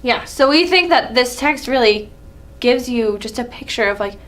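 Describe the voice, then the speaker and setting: Casual young woman, fairly high voice. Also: expressive, moderate pace, fairly bright tone. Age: young adult. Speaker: student presenter. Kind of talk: casual, informal presentation.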